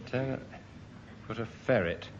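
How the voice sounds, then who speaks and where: A man's voice: mid-range voice, a little slow. A man, a comedy panellist, improvising stories and bantering. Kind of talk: improvised comic storytelling and banter. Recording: lo-fi recording, very loud audience noise.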